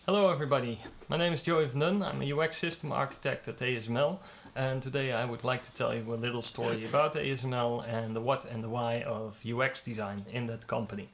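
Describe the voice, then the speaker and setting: A man in his thirties with a Dutch accent giving an online presentation, measured, with frequent fillers. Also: mid-range voice, steady tone, gravelly. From Netherlands. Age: 30s. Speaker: guest presenter.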